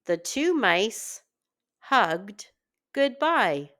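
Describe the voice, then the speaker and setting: A woman narrates a scripted children's read-aloud like a teacher. Her pace is extremely slow and deliberate, phrase by phrase for early readers. Her mid-range voice moves up and down animatedly. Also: mid-range voice, sing-song, fairly bright tone.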